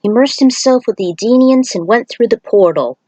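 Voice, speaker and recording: A woman's voice: a little high, expressive, brisk, dark tone, no echo. A woman narrating a scripted fantasy story.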